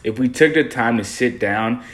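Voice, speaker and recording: A man's voice: mid-range voice, normal speed, fairly bright tone. A young man from Haiti co-hosting a discussion, speaking earnestly and measuredly with frequent fillers. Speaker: co-host discussing a designer interview. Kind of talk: casual conversational discussion.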